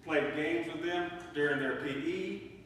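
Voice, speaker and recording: A middle-aged man reading a memorial eulogy in a measured, deliberate way in a church. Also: on the high side, a bit bright. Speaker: eulogist, son of the deceased. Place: church sanctuary. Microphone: distant microphone in a large room. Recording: distant mic, echoey hall, faint noise.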